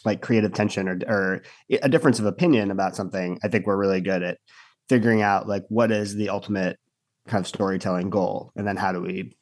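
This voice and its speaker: A young man from Michigan, a guest on a radio interview, talks hesitantly and pads his sentences with fillers. His voice sits a little low. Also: fairly even intonation, quick pace.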